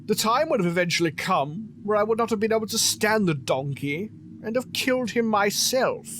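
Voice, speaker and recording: A man's voice: sits high, expressive, normal speed, over music. A man playing a sinister, clawed phantom monster in an audio drama, speaking in a theatrical, sardonic and menacing way. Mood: sardonic menace.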